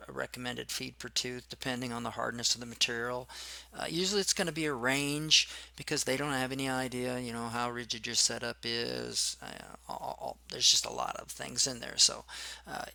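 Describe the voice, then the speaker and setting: Hoarse man, gravelly, bright voice, dry close sound, casual instructor walking through a tutorial. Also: mid-range voice, dry recording. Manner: casual, rambling with many fillers. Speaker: machining instructor. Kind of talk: screen-recorded tutorial.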